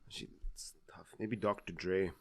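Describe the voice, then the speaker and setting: Male, lowish pitch, brief slangy interjections, casual podcast banter, dry recording. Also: fairly even intonation, fairly quick, a little mellow, no room sound. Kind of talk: casual group chat.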